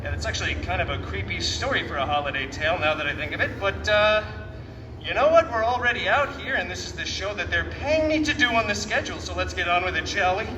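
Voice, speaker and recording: A man's voice: high voice, animated, quick pace. A man playing a wisecracking superhero mercenary as a live theme park storyteller, hammy and chatty with mock cheer and sarcastic asides. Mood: theatrical, playful. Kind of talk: comic storytelling to a live audience. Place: outdoor theme park show. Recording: echoey, noisy outdoor PA from far off.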